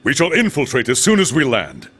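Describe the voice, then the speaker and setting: An actor playing a resolute, formal military officer with an authoritative manner in a video game cutscene. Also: male voice, mid-range voice, huge pitch swings, brisk, a bit bright, breathy edge, heavily acted. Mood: composed.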